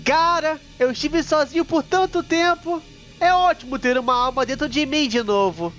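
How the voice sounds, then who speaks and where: A woman's voice: sits high, normal speed, natural voice. A small evil flower villain, voiced by a woman, taunting and theatrical in game-dub style. The recording is dull and lo-fi, with music faintly underneath the cruel, gleeful delivery. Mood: gloating. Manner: taunting, theatrical, bursts into shouting. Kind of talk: dramatic dubbed game villain. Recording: muffled lo-fi sound with music.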